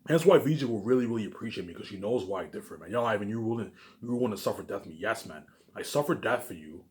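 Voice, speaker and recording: A man talking casually in a rambling, repetitive monologue, swearing often and swinging between loud emphatic bursts and quieter asides. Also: on the low side, lively intonation, very fast. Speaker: vlogger.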